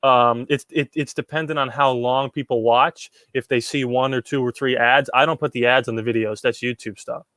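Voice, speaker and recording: A man's voice: medium pitch, fairly even intonation, normal speed, hardly any pauses, fairly bright tone, no echo. A young man from Puerto Rico hosting a casual livestream, reading chat questions and answering them in a rambling way, with repeated words and many ums.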